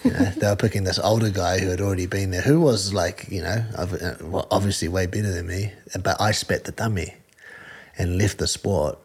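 A man with a New Zealand accent talks through an interview in an earnest, halting way, stopping and restarting his sentences. His voice sits very low, with a husky grain to it. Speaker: combat sport fighter, guest. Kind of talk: casual interview answers.

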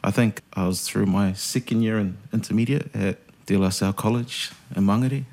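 A man's voice: lowish voice, steady tone, normal speed, vocal fry, darkish tone. A man, a podcast guest telling stories in a laid-back, self-deprecating way. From New Zealand. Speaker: podcast guest, radio host. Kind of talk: casual interview chat.

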